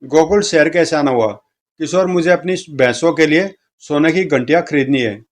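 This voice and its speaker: A man's voice: on the high side, moderate pace. A man reading comic strips aloud as a story narrator, speaking the dialogue of each character. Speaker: comic book reader, narrator.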